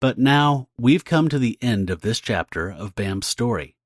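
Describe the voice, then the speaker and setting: A man's voice: mid-range voice, lively intonation, unhurried, no echo, studio quality. A man speaking as a podcast host, reading a scripted announcement.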